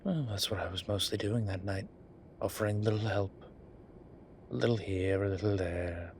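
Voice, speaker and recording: A man playing a polite, flirtatious incubus demon in an intimate roleplay audio drama, with hesitant, formal phrasing and a softness that turns breathy at times. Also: fairly low pitch, moderate pace, slight background noise, lightly acted. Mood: polite, playful. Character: courteous, flirtatious male incubus demon, restrained and apologetic.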